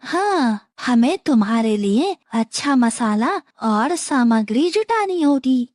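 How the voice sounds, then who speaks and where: A woman as a high, lively bird friend. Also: slow pace, dry recording, clean studio sound, full character voice. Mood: supportive. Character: supportive, cheerful village bird friend. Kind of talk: cartoon moral story dialogue.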